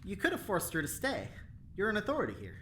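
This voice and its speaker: A woman's voice: medium pitch, wildly swooping pitch, fast, fairly bright tone, slight background noise. A woman playing a cocky, teasing prisoner engineer in an audio drama, with a breezy, playful way of talking.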